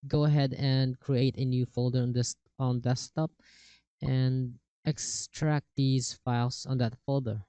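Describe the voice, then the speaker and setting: A man narrating a casual step-by-step software tutorial, with somewhat halting phrasing. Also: mid-range voice, fairly even intonation, clear, resonant tone, no echo. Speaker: tutorial host. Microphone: cheap desktop microphone with software processing.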